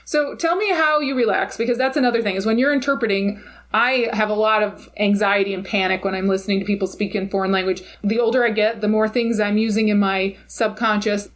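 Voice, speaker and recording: A woman's voice: mid-range voice, fairly even intonation, brisk. A middle-aged woman interviewing a guest in a reflective, conversational way, laughing often as she talks.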